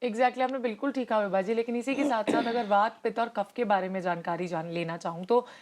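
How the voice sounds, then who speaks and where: A woman's voice: medium pitch, a little mellow. A woman health show host, asking questions and prompting the guest with short backchannels. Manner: brisk prompting with frequent "hmm" backchannels. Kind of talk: interview hosting.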